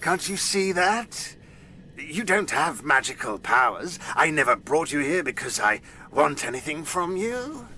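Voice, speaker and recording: Casting: grandiose demon-lord villain of audio drama, highish sharp voice, animated swoops, oily menace. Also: male voice, unhurried, a little hiss or hum, heavily acted. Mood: oily, possessive. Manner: theatrical, grandiose, oily and scornful. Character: pompous, menacing, possessive fairy king, a demon lord.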